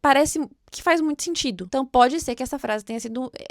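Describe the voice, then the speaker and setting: Higher-pitched Brazilian woman chatting about books. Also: quick pace, no echo, clean studio sound. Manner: chatty, thinking aloud, informal. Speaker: host of a book read-along. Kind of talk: casual book commentary with passages read aloud.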